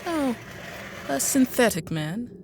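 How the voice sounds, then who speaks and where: A woman's voice: on the high side, sing-song, takes it slowly, darkish tone, a little hiss or hum, full character voice. An actress playing a genteel, infirm woman inventor in a radio drama, with courteous, hesitant, old-fashioned speech. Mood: wry, musing. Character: genteel, infirm middle-aged woman inventor, politely apologetic and bookish. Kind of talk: radio drama monologue and dialogue.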